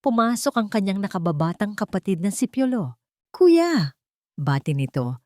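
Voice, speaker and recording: A woman's voice: mid-range voice, big pitch swings, a little fast, dry recording, studio quality. A woman narrating a scripted romantic drama as a storyteller, in a dramatic style.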